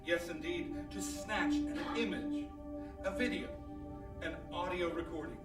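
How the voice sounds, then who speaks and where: An actor playing an earnest, melodramatic ghost hunter narrating a mock documentary in a comic radio play. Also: male voice, high-pitched, a little slow. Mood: solemn, dramatic. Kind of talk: scripted comic radio narration before an audience. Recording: echoey live stage, distant mic, loud music.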